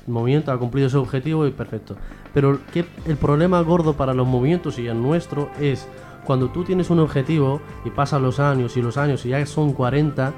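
A man's voice: mid-range voice, a little fast, darkish tone, soft background music. A man from Western Sahara explaining politics as a radio interview guest, often posing questions and answering them himself. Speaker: guest, member of a Sahrawi association.

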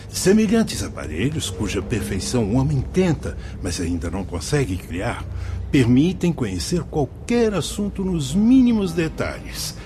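An actor playing a genial priest who directs a spirit-world watchtower in a radio drama, courteous and explanatory, with a Brazilian accent. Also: male voice, lowish voice. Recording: music behind the voice.

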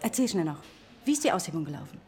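Cheeky, low-voiced young woman. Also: sing-song, darkish tone. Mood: curious, saucy. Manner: saucy, brisk. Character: cheeky, bold modern young female police stenographer. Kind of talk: radio drama dialogue.

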